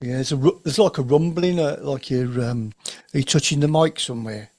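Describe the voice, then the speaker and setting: A man hosting a podcast in casual chat, with a husky voice. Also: mid-range voice, fairly quick, darkish tone. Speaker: podcast host.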